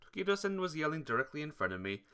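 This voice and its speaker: A man playing and reading a visual novel aloud in an expressive way while chatting to viewers. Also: on the high side, racing, smooth. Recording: music behind the voice. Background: game music.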